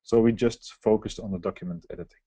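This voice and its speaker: Man for a webinar software walkthrough; explanatory, mellow tone, dry close sound. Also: lowish voice, fairly even intonation, quick pace, slightly rough, no room sound. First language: Dutch. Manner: explanatory, walks through on-screen steps. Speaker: software presenter. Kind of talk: live software demo webinar.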